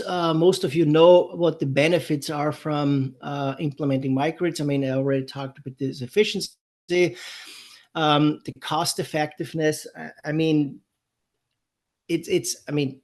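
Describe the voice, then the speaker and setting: A man with a German accent presents a technical webinar in a slightly higher-pitched voice. He talks in a loose, conversational way, often restarting his sentences and filling pauses with "uh". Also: darkish tone. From Europe. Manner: conversational, many fillers and restarts. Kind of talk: unscripted technical lecture. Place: San Diego, California.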